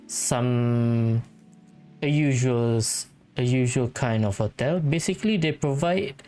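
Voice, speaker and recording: A video game host giving casual commentary and thinking out loud while playing. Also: mid-range voice.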